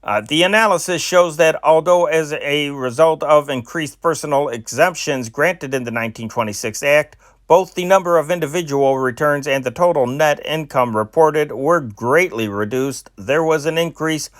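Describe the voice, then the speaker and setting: A man reading old newspaper stories aloud as a newsreader, in a measured way with occasional slips and restarts, and datelines in a lifted voice. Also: fairly high pitch, dry recording, studio quality. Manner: dateline cities read in a rising, louder voice.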